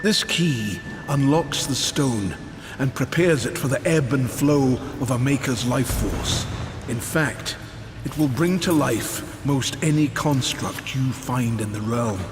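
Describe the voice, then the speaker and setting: Male actor as solemn elderly craftsman sage for a fantasy game, unhurried delivery, long reverb, music underneath. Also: mid-range voice, lightly acted. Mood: solemn, explaining. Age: older. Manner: solemn, measured. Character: weary old male Maker, solemn mentor. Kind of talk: video game character acting.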